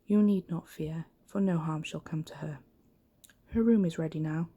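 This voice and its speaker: A woman voicing a big, kind, lonely fairy-tale beast in a soft, breathy, measured voice. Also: sits low, highs cut off. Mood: calm, courteous.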